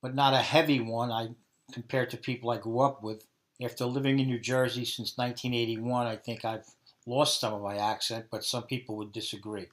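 A man's voice: medium pitch, level tone, a little fast. Brooklyn man in his fifties. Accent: Brooklyn New York. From Brooklyn, New York, USA. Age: 50s. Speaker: interviewee, dialect research subject. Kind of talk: interview answers.

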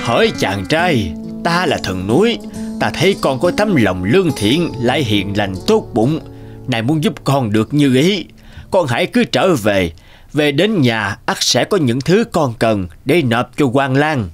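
An actor voicing an old, benevolent mountain god in an animated folk tale, speaking solemnly. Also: male voice, medium pitch, unhurried, over music.

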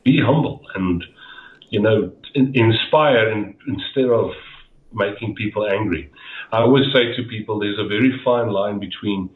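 Low-voiced Afrikaans-accented man on a tinny call. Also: moderate pace. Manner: rambling, repeats words, frequent "uh" fillers. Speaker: guest. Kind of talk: casual interview chat. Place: dim home room. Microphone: headset on a video call. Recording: echoey tinny video-call audio.

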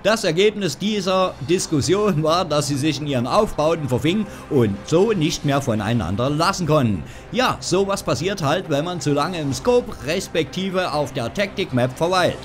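Wry German gaming news host. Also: male voice, a little high, lively intonation, normal speed, hardly any pauses. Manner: wry, joking asides. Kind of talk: scripted game news voice-over. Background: background music.

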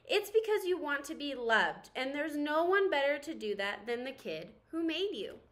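A woman's voice: sits high, big pitch swings, normal speed, a bit bright. A woman voicing an anxious, earnest toy spork from a storybook, speaking emphatically and pleadingly. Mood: earnest, encouraging.

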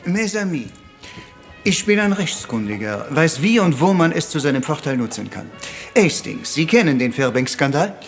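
An actor playing a vain, fussy middle-aged detective in a radio crime drama, formal and theatrical. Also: male voice, a little high, big pitch swings, normal speed, faint music behind. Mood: self-assured. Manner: formal and theatrical, sprinkled with French courtesies.

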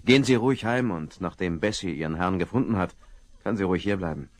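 A man's voice: sits low, quick pace. An actor playing a bluff, easygoing male sheriff in a 1970s radio play. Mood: gruff. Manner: bluff, scolding, reassuring.

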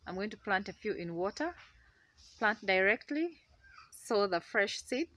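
A young Ugandan woman with a Ugandan accent, chatting excitedly to a phone camera in her outdoor garden. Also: mid-range voice, measured pace. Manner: excitable, chatty, laughs often. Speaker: gardening vlogger. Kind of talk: casual chat to camera. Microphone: phone camera held close.

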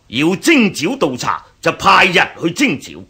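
A scheming, domineering grand general in a Cantonese radio drama, with a Hong Kong accent. The voice is husky and forceful, with huge swoops in pitch. His courtesy is false and threatening. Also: huge pitch swings, a little slow, full character voice. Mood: impatient, domineering. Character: overbearing, scheming male grand general, cold poisoner.

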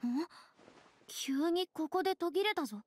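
An actress playing a solemn, thoughtful young woman in a video game, speaking in a measured, dramatic and slightly lofty way. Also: very high-pitched. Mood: pensive, investigating. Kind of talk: Japanese video game voice acting.

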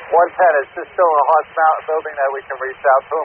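A male fire dispatcher reads out addresses and units in an even, flat delivery. He comes through a tinny, phone-like scanner radio with a light hiss behind him. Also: fairly high pitch. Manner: flat, measured call-outs.

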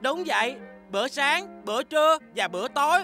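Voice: high-pitched, expressive, moderate pace, bright, forceful tone, soft background music, heavily acted. An actor voicing a stubborn, plucky little male smurf chef in a Vietnamese cartoon dub, prone to exclaiming. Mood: cheerful.

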